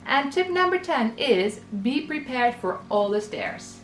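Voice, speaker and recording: A woman from the Netherlands in her thirties giving travel tips in a scripted vlog, explaining clearly and practically. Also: high-pitched, expressive, a little slow, faint music behind, poor audio. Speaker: travel vlogger.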